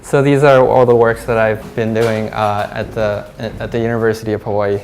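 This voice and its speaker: A young Japanese man from Hong Kong, a glass artist, giving a halting talk about his work in a gallery, with many fillers and restarts. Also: lowish voice, level tone, darkish tone, slight background noise.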